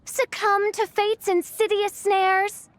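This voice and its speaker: Very high, pompous young princess. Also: female voice, little pitch movement, slow pace, a little hiss or hum. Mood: lamenting. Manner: pompous, archaic, melodramatic. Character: grandiose theatrical young princess, melodramatic and haughty. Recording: music behind the voice.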